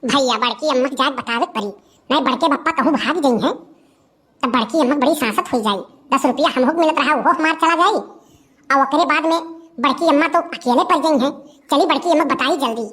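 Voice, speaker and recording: A woman's voice: high-pitched, a little fast, fairly bright tone. A woman voicing a cheeky, nosy village child in a comedy cartoon, pestering with questions in an Awadhi accent. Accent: Awadhi, eastern Uttar Pradesh.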